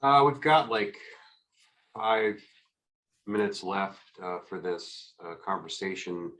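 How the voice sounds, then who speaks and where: A man's voice: low-pitched, animated, takes it slowly, a bit bright, low-quality recording. A man informally hosting and moderating a discussion in an online video meeting.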